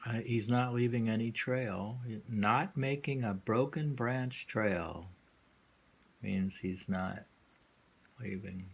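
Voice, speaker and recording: A man giving an informal poetry reading with commentary, musing haltingly and repeating phrases as he explains. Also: lowish voice, gravelly, slightly rough.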